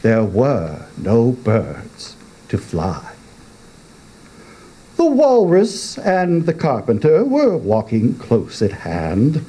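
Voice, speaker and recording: A man giving a theatrical reading with a deliberate, dramatic manner and long pauses. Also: medium pitch, huge pitch swings, dark tone, breathy edge. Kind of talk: theatrical poetry recitation.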